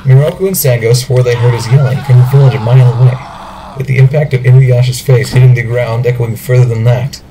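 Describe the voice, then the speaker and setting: A man's voice: medium pitch, fairly even intonation, quick pace, noisy background. A man reading scripted narration for an amateur audio drama.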